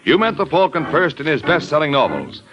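A man announcing in booming, emphatic old-time radio style, his pitch swinging lively up and down. He sells with theatrical flair and then sets the scene as narrator. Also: fairly quick, highs cut off, over music. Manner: booming, emphatic sales delivery.

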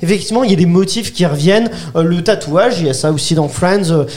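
A man's voice: high-pitched, quick pace, a little mellow. A man co-hosting a podcast, debating a TV series with enthusiasm and stammering restarts. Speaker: podcast co-host. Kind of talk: casual debate about a TV series.

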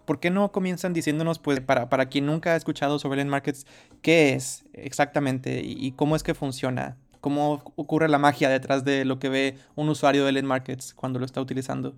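A man's voice: mid-range voice, little pitch movement, a little fast, clean tone, faint music behind. A man hosting a casual interview show, introducing guests with frequent repeated words. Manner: warm-up talk with repeated words. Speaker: podcast host.

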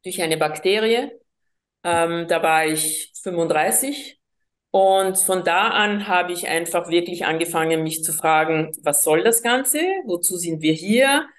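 Woman, slightly low voice, halting speech. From Colombia. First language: Spanish. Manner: hesitant, many fillers and restarts. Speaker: guest. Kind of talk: personal storytelling in an interview.

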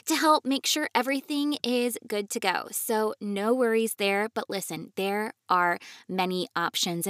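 A woman's voice: medium pitch, a bit bright, no room sound, studio quality. A woman hosting an advice podcast in a conversational, reassuring way. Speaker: podcast host.

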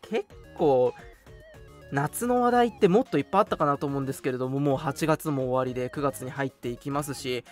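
A young man hosting a casual live radio chat, talking politely and chattily with soft hedges between announcements. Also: mid-range voice, brisk, soft background music. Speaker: radio show host.

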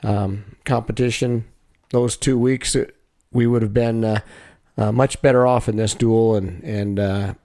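A man, a wrestling coach, talking over the season in a casual, halting way, full of fillers and restarts. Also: lowish voice, steady tone, dark tone. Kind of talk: casual chat.

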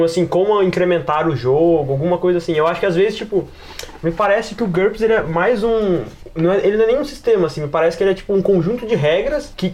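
Casting: Brazilian man, higher-pitched, rapid and informal, rambling podcast discussion full of fillers. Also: a little mellow. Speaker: podcast panelist. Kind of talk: casual podcast discussion of tabletop games.

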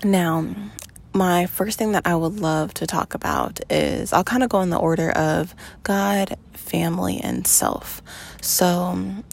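A woman in her twenties hosting a podcast, talking in an earnest, reflective monologue with slow pauses and fillers. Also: on the low side, steady tone, gravelly. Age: 20s. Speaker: podcast host.

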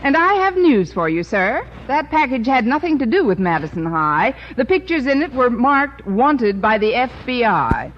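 An actress playing a sardonic, wisecracking schoolteacher with dry comic timing in an old radio comedy. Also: female voice, a little high, moderate pace, few pauses. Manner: deadpan, dry sarcastic timing. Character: wry, wisecracking adult female schoolteacher, cool and sardonic. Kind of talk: 1940s-50s radio comedy acting. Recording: muffled, noisy old radio recording.

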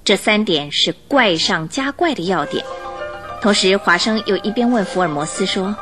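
A woman narrator telling a scripted radio drama story in an expressive, suspenseful style. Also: medium pitch, moderate pace. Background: music under the voice.

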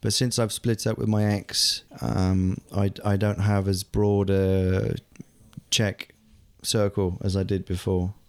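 Man in his forties, lowish voice, chatty guest full of ums and restarts. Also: flat delivery, slow, smooth. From England. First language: German. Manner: chatty, hesitant, many fillers and restarts. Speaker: guest, actor. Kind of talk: casual conversation.

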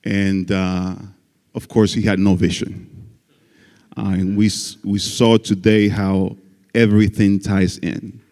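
A man's voice: on the low side, level tone, takes it slowly, a little mellow. A male guest pastor from the Dominican Republic, preaching a folksy sermon with jokes and frequent amens. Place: church sanctuary. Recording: church hall reverb.

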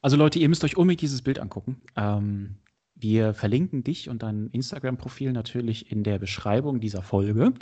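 Enthusiastic male podcast host, slightly husky voice. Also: medium pitch, moderate pace, warm tone. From Berlin, Germany. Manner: enthusiastic, chatty, addresses listeners directly. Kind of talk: casual enthusiastic podcast interview.

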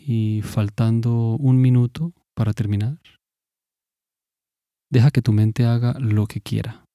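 Slow, mellow man guiding meditation. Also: medium pitch, no echo, clean studio sound. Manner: calm, measured, instructive. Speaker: meditation guide. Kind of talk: guided mindfulness meditation.

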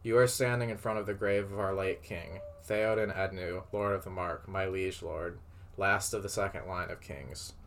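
A grave, moody warrior king from a fantasy storybook, read by a man. His voice is on the low side, with a solemn, halting intensity befitting a father of few words. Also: fairly even intonation, a bit bright, a little hiss or hum. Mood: raw grief.

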